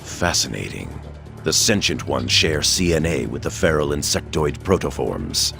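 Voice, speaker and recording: Extremely deep, clinical robot scientist. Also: male voice, sing-song, normal speed, creaky, exaggerated voice. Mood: haughty, fascinated. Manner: clinical, formal, precise. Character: cold, logical robot scientist villain, haughty. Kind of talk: dramatic video game cutscene acting. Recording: loud music over the dialogue.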